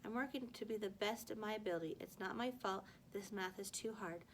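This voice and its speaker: A woman's voice: mid-range voice, brisk, a little hiss or hum, close to an everyday voice. A woman voicing a scrawny, gifted middle-school boy in a storybook, a plaintive know-it-all who blurts things out. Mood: whiny, plaintive.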